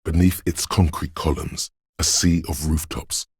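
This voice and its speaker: A man voicing a brooding inner voice that senses a rainy city, narrating a video game in a slow, solemn, poetic and deliberate way with a gravelly, husky tone. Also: a bass voice, fairly even intonation, dry recording, clean studio sound, heavily acted. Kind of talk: scripted atmospheric second-person narration.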